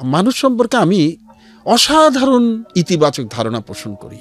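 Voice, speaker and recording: A Bangladeshi man with a fairly high voice speaks in an earnest, explanatory way, as a guest telling his story on radio. His delivery swings widely in pitch and slips into English phrases, with music behind him. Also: huge pitch swings, measured pace. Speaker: interview guest, founder of a charity resale platform. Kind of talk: conversational storytelling in a radio interview. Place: radio studio.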